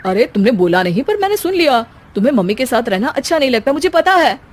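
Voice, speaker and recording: A woman's voice: high-pitched, big pitch swings, fast, a little hiss or hum. Woman playing an exasperated young husband. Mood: weary, scolding. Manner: weary, scolding, wry. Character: exasperated young husband refereeing wife and mother. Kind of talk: animated family comedy story acting.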